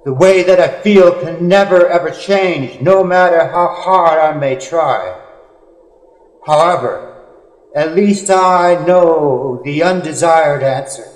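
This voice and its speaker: A man reading poetry in a solemn, dramatic style, with earnest, measured phrasing and drawn-out pauses. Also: fairly high pitch. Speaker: poet. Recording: echoey, long hall-like reverb.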